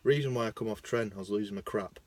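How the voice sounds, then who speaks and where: A young man with a Lancashire accent, rambling casually into his phone in a parked car, self-mocking, jumping between loud bursts and mumbled asides. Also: fairly low pitch, fast, dry recording. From Lancashire, England. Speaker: vlogger.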